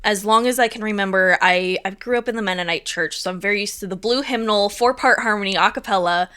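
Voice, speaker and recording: A chatty young woman talks through an interview in an easygoing, upbeat way, her words full of casual fillers. Her voice is bright and forward, and her pitch sits in the middle. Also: fast, clear, resonant tone. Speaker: stage manager.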